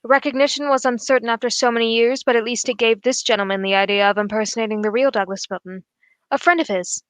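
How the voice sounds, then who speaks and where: High-voiced, composed gentleman detective played by a woman. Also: fairly even intonation, dry recording, close to an everyday voice. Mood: confident, accusing. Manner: formal, measured, explanatory. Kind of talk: old radio drama acting.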